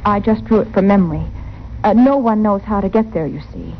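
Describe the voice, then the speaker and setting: An actress playing an earnest, anxious frontier wife in an old radio western. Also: female voice, medium pitch, fairly quick, close to an everyday voice. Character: worried, earnest frontier wife pleading for help. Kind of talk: 1950s radio western acting. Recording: muffled, hissy old radio recording.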